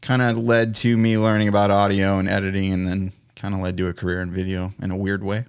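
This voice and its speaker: A man's voice: sits low, little pitch movement, fast talker, clean tone, no room sound, clean studio sound. A man chatting casually as a podcast guest, telling things in an informal, anecdotal way.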